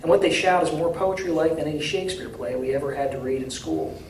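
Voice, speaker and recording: Higher-voiced man reading fiction in echoey room. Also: fast talker, darkish tone. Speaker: author reading from his novel. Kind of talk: live literary reading, dramatic first-person narration. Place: indoor reading at a university. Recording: distant, echoey room with faint noise.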